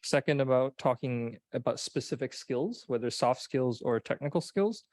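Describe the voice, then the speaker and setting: A man's voice: mid-range voice, lively intonation, moderate pace, a little mellow, no room sound. Hesitant young man moderating a panel. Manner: hesitant, restarting phrases, with ums. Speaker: seminar moderator. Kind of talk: introducing a panel discussion.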